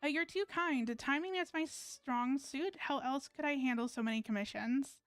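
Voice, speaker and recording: A woman's voice: high-pitched, normal speed, no room sound, soft background music. A woman game streamer chatting casually in a rambling, hesitant way, close to the mic. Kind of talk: casual livestream chat, reading game dialogue aloud.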